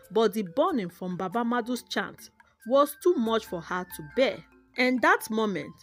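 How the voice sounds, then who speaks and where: A woman narrates a scripted folktale in an expressive, dramatic manner, with background music. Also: mid-range voice. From Nigeria. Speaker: storyteller, narrator.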